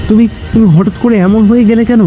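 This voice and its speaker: A man voicing an anxious, excitable young villager who asks startled questions in a horror audio story. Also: sits high, fast, faint music behind, lightly acted. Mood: alarmed. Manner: stammering, questioning.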